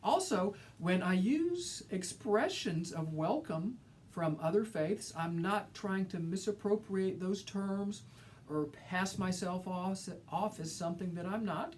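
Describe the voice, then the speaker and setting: A man's voice: high-pitched, takes it slowly, a little mellow, slight background noise. A middle-aged man from Alabama with a Southern accent, giving an earnest, enthusiastic welcome lecture as a college instructor, often repeating phrases for emphasis. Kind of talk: lecture-style course welcome.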